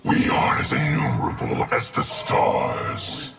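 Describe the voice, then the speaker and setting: A man playing a menacing, proud undead being of many souls in a fighting game, speaking in a stately, formal way with a breathy, growling rasp. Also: slow, close to an everyday voice. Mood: solemn, proud. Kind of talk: fighting game dialogue acting. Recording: loud music over the voice.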